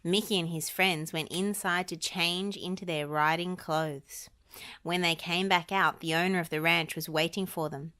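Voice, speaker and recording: A woman reading a children's storybook aloud as an expressive narrator. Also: fairly low pitch, moderate pace. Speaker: storybook reader.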